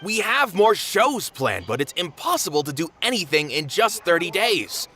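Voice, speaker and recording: An actor playing a gruff, emphatic male theatre manager in a video game. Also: sits high, very animated, moderate pace, sharp tone, soft background music, heavily acted. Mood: exasperated. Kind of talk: game voice acting.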